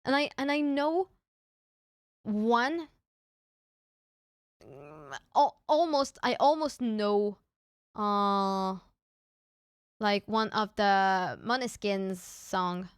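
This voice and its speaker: A woman's voice: medium pitch, lively intonation, very slow, long pauses, clean tone, dry recording, studio quality. A young woman from France, chatting casually with viewers on a live stream, often stammering and restarting her words with little giggles. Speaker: VTuber streamer.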